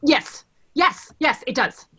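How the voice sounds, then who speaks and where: A short-fused human resources officer, acted by a woman in a play reading. She is curt and defensive, with a high, bright voice that swings widely. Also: lively intonation, slow, full character voice. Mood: uneasy, curt.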